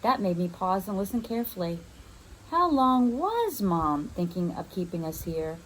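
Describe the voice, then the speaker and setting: A woman's voice: mid-range voice, very animated, moderate pace, poor audio. Woman reading a novel aloud, faint noise. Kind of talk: expressive read-aloud of a children's first-person novel.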